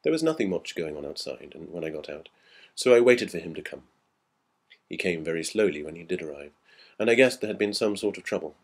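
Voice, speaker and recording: A man narrates a ghost story in a low, husky voice with lively intonation. He tells it as a measured recollection, shaping each phrase like a storyteller. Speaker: reader of a story.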